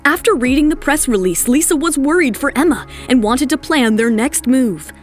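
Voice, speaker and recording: A woman's voice: sits high, animated, normal speed, soft background music. A woman narrating a scripted romance audio drama.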